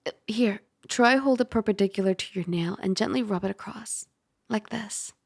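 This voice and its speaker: A woman playing a caring, teasing dragon girl roommate in an ASMR roleplay, soft, chatty and gently instructive. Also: mid-range voice, moderate pace, vocal fry, dry recording. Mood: gentle, reassuring.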